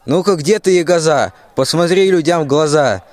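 A man's voice: a little high, normal speed, lightly acted. A man in a radio play acting a grovelling, scheming army general who declaims excuses in rhyme. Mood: grovelling, blaming. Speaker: school student actor.

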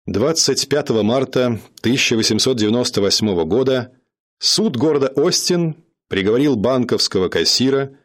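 A man narrating a radio drama in a measured, dramatic storytelling manner. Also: mid-range voice.

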